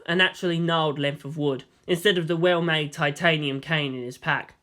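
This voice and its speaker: Narrator: man with a lightly high voice, delivering prose fiction as a scripted read. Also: fairly bright tone.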